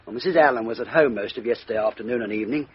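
A man's voice: mid-range voice, racing, slightly rough, lightly acted. A man playing a respectful police sergeant. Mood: reporting. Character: deferential young male police sergeant. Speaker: police officer. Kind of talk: 1950s radio drama acting. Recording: dull old radio broadcast.

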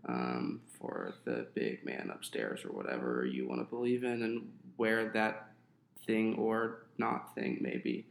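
A man's voice: rumbling low, expressive, takes it slowly, gravelly, reverberant, slight background noise. A young adult man co-hosting a podcast, chatting casually and rambling a little with fillers. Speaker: podcast co-host.

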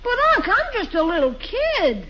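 A muffled, noisy old radio recording. a cheeky little schoolboy in a comedy, very high-pitched and swooping, whining and wheedling his way along. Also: huge pitch swings, moderate pace, heavily acted. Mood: pleading. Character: whiny, cheeky young schoolboy nephew. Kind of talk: 1940s radio comedy acting.

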